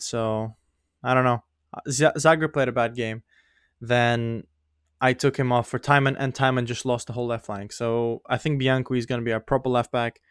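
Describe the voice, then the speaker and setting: Young guy, english not his first language, chatty and laughing at himself, mid pitch, dry mic. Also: smooth, no room sound. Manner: rambling, self-mocking, laughs often. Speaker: gaming YouTuber. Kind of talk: casual gameplay commentary.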